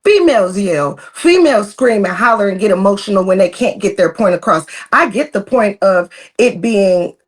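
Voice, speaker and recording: A woman's voice: mid-range voice, lively intonation, normal speed, hardly any pauses. A woman on a live podcast panel, arguing assertively and repeating herself for emphasis. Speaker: debate panelist. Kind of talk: heated debate, then explaining her view.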